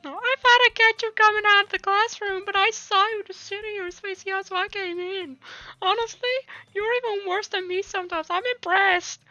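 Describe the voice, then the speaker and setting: A woman voicing a carefree, clingy anime schoolgirl from a visual novel in a squeaky, whiny falsetto. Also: steady tone, moderate pace, pushed and bright, no echo, highs cut off. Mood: teasing. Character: carefree bubbly anime schoolgirl, worried, clingy childhood friend.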